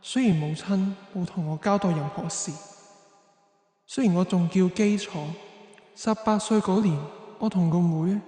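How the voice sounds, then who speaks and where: Man acting a high-voiced, solemn young avenger. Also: measured pace, warm tone. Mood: sorrowful, bitter. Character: vengeful young man, solemn and brooding hero. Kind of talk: first-person dramatic narration in Chinese radio drama. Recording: roomy long reverb.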